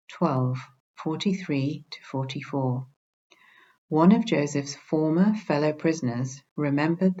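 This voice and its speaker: A woman reading a scripted religious study in a measured, formal way. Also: very deep voice, a little mellow, breathy edge. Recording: dull, muffled lo-fi recording.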